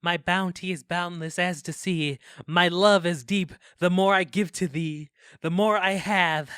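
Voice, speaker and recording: An actor plays an ardent, earnest young poet in an audio drama. The mid-pitched voice delivers flowery, gallant declarations of devotion. The sound is dry and close. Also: fairly even intonation, measured pace, fairly bright tone, smooth, no echo, natural voice. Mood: devoted, earnest. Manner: florid, ardent poetic declarations. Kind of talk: Shakespearean verse in modern audio drama.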